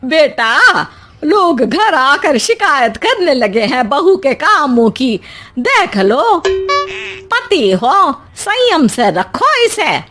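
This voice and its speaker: Strict storybook mother-in-law acted by a woman, high voice, slow delivery, scolding and bossy. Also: very animated, a bit bright, full character voice. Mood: disapproving. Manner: bossy, disapproving.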